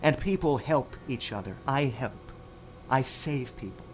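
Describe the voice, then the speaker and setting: A man playing a sardonic, righteous rebel power station operator in an audio drama, taunting and deliberate with sarcasm. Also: mid-range voice, lively intonation, a little hiss or hum, lightly acted, poor audio.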